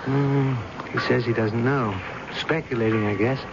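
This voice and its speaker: An actor playing an ardent, protective secret lover in an old-fashioned radio drama, earnest and coaxing. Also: male voice, mid-range voice, fairly even intonation, moderate pace, close to an everyday voice. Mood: grave, confiding. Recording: dull, noisy old radio broadcast recording.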